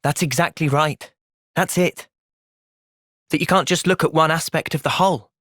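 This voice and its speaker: A man with an English accent voicing an earnest, thoughtful young soldier hero in a fantasy video game, speaking in a measured, reflective way. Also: a little high, fairly bright tone, no room sound, clean studio sound. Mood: calm, thoughtful. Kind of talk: video game cutscene acting.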